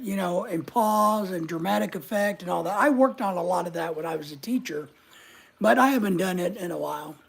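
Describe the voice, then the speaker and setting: A middle-aged man with a voice high enough that strangers take it for a woman's. He chats loosely with viewers, joking at his own expense. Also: gravelly, slightly rough. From United States. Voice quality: high voice often mistaken for a woman's. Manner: chatty, joking, self-mocking. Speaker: channel host reading live chat. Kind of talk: casual livestream chat.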